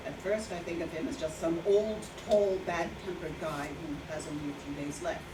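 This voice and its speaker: A woman playing a haunted former nurse recounting her memories in a halting, reflective monologue at a staged reading. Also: low-pitched, fairly even intonation, noisy background, natural voice. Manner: halting, with repeated words. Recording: roomy, distant mic, music behind.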